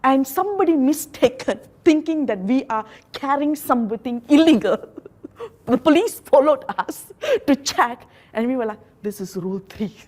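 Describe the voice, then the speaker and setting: A woman from Malaysia, not a native English speaker, giving an earnest motivational talk with slow, emphatic phrases. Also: high voice, animated, darkish tone. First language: a Malaysian local language.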